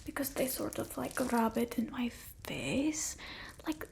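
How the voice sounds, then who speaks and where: A woman voicing a haughty, fussy vampire mistress in a breathy ASMR roleplay, with an imperious, complaining manner. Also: on the high side, sing-song, exaggerated voice. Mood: haughty, gossipy. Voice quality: soft, breathy whisper. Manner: slow, imperious, fussy complaining with drawn-out words. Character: haughty, fussy female vampire mistress scolding her human familiar. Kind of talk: ASMR roleplay, mostly whispered.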